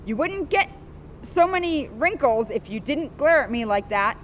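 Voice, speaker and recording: A woman's voice: high-pitched, very animated, moderate pace, muffled recording, a little hiss or hum. A woman voicing a blunt, honest young schoolboy in a storybook, emphatic and matter-of-fact.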